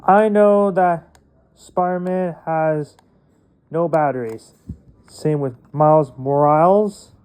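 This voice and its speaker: A man with a fairly high voice speaks very slowly and haltingly. He talks casually in short, simple phrases, as the host of a homemade unboxing. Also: a little mellow, smooth. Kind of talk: casual unboxing narration, reading packaging aloud.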